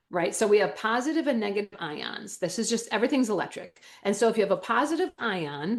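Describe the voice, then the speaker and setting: A woman with a Wisconsin accent explaining things enthusiastically and emphatically as an interview guest, using everyday analogies. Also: medium pitch. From Wisconsin, United States. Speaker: natural medicine school owner and teacher, interview guest. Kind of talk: animated explanatory interview talk.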